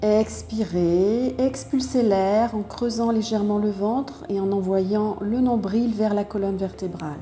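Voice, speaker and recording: A woman yoga teacher with a very soft, dark-toned voice leads a practice slowly and calmly. She gives measured instructions for breathing and posture, leaving pauses between them. The room adds noticeable echo, and faint music plays behind her. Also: medium pitch. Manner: calm, measured step-by-step guidance with pauses.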